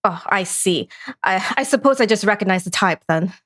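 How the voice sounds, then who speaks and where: A woman's voice: medium pitch, expressive, normal speed, a bit bright, dry recording, studio quality. A woman playing a polite, bookish librarian turned monster hunter in an audio drama, with a courteous, proper manner. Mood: curious, polite.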